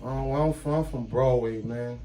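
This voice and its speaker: A man's voice: mid-range voice, moderate pace, warm tone. A man, chatting as an interview guest in street slang and switching into Spanish now and then. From New York. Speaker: guest rapper. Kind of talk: casual interview chat.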